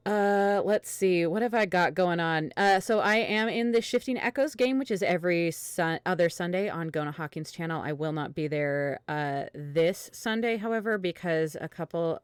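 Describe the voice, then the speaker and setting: A woman's voice: fairly low pitch, clear, resonant tone, no room sound, clean studio sound. A woman hosting a livestream, chatting casually in a rambling, self-interrupting way. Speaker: host, variety streamer.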